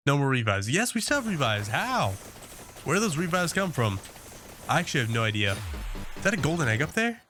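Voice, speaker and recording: A man's voice: mid-range voice, pushed and bright, a little hiss or hum. A man casually commenting on his gameplay as a video host, rambling with many fillers and restarts, his nose a bit congested.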